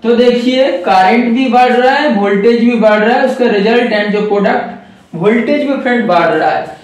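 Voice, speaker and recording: A man's voice: extremely high pitch, heavy reverb, far from the mic, poor audio. A man explaining a circuit step by step to viewers, repeating key points and calling them friends. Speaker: electronics trainer. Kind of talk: whiteboard circuit lesson.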